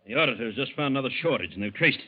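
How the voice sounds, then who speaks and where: An actor playing a brisk, paternal male bank executive in a 1940s radio drama style. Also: medium pitch, expressive, slightly rough. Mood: annoyed. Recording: muffled, lo-fi 1940s radio recording.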